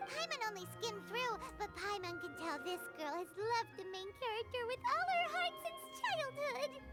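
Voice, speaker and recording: A tiny fairy sidekick from a video game with an extremely high, childlike squeak. She is cheeky, opinionated and excitable, swinging animatedly in pitch over prominent music. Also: normal speed. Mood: eager, pleading. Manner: speaks of herself in third person, exclamatory. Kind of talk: cartoonish video game voice acting.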